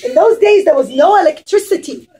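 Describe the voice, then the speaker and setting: Woman, high-pitched voice, children's storyteller, expressive, explanatory, interactive teaching. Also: brisk.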